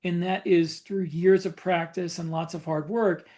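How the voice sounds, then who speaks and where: A man chatting casually as a podcast co-host, thinking aloud in halting phrases that he often repeats. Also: sits high, little pitch movement, mellow. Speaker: podcast co-host and fiction author.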